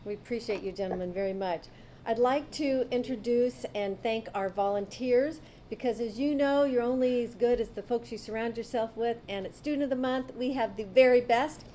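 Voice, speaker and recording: A woman's voice: on the high side, moderate pace, warm tone, a little hiss or hum. Gracious woman emceeing an awards breakfast. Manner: gracious, thanking guests one by one. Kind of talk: ceremony announcements.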